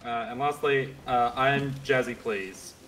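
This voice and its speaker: A man with an Australian accent streaming a pirate game with friends, excitable and quick to laugh. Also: medium pitch, a little hiss or hum. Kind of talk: casual gaming chat.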